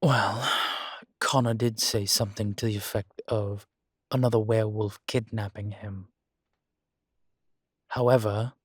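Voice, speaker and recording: A man playing a guilt-ridden, centuries-old vampire in an audio drama, speaking gravely and formally with weary, deliberate pauses. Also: lowish voice, no echo, studio quality. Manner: slow, grave, deliberate phrasing with long pauses. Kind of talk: dramatic audio roleplay acting.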